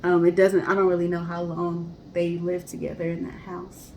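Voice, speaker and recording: A woman's voice: lowish voice, monotone, normal speed, darkish tone. A woman co-hosting a casual story show, explaining history and speaking directly to listeners. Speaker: podcast co-host and storyteller. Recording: roomy echo, clear background hiss.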